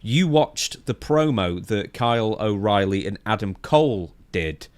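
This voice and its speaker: A man's voice: lowish voice, animated, unhurried, no echo. A man hosting a podcast, reading out news items and chatting about them in a wry, conversational way. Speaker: podcast host.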